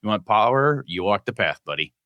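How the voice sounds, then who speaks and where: A man with a low, bright and forceful voice co-hosts a casual gaming podcast. He reads listener mail aloud and breaks off for jokey asides. The close mic sounds dry. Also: sing-song, a little fast, no echo, muffled recording.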